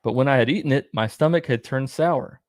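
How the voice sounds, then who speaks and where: A man with a mid-pitched voice reads aloud as a narrator and host. He is plain-spoken and casually irreverent. The recording is dry, with hardly any room sound. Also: brisk, a little mellow, no echo. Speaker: host reading and commenting on scripture.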